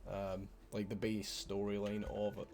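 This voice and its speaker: A man's voice: on the low side, monotone, measured pace, a little mellow. A man with a Scottish accent streaming a video game, chatting casually, swearing freely and bantering with his viewers. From Scotland. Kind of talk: casual gameplay commentary.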